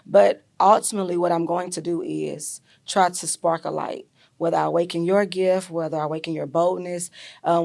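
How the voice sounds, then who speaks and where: A young adult woman guest speaks in a low, raspy, gravelly voice. She tells her story in an animated, casual way, filling pauses with "um" and "like" as she talks to an interviewer. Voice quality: raspy, deep voice. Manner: animated storyteller, many fillers. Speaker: podcast guest, artist and educator.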